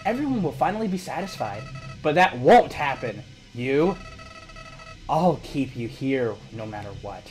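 A woman's voice: a bass voice, lively intonation, a little slow, darkish tone, faint music behind, poor audio. A woman voicing a small, sneering male villain flower who gloats and threatens. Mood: threatening. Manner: gloating, menacing, cackling.

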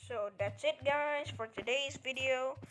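A woman's voice: high voice, a little slow, a bit bright, a little hiss or hum. A woman giving a casual step-by-step game tutorial, counting items aloud and checking in with "alright?" as she goes. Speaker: tutorial creator.

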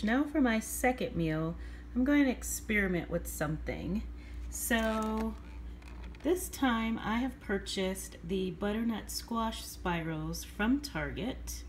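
A woman casually explaining her meal prep step by step in her home kitchen, recorded on a handheld phone. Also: mid-range voice. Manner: chatty, explains step by step with fillers. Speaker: home cook vlogger. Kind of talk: casual cooking narration. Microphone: handheld phone camera. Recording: faint kitchen noise, sizzling pan.

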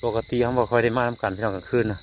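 A man's voice: mid-range voice, steady tone, rapid-fire. A man with an Isan accent chatting casually and folksily to his viewers as he vlogs outdoors at night. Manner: folksy, repeatedly addresses viewers. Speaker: vlogger hunting frogs at night. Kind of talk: casual vlog chat to viewers. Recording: outdoor recording with faint insect noise.

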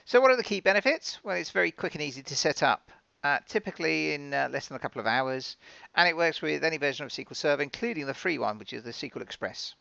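Male software presenter, very creaky yet bright voice, informal and explanatory, dull lo-fi recording. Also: a little high, lively intonation, fast talker, no room sound. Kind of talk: conversational software demo narration.

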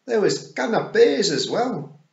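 A small, simple-minded Scottish pixie, high and eagerly helpful. Lines are blurted with bouncy swings in pitch, in a roomy storybook recording. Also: male voice, sing-song, slow, vocal fry, muffled recording, full character voice. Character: daft, helpful little pixie fellow, grinning drinker.

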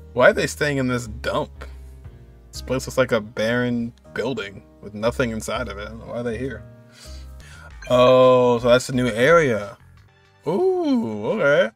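A man's voice: mid-range voice, expressive, measured pace, long pauses. A man in his twenties giving casual, musing reaction commentary as he watches a game. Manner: musing, conversational. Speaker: gamer reacting to cutscenes. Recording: game music faintly behind.

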